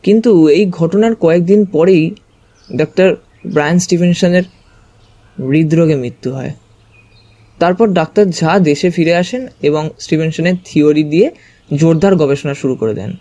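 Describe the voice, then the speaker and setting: A man's voice: a little high, moderate pace, pauses often, warm tone. A man narrating a story dramatically in the first person.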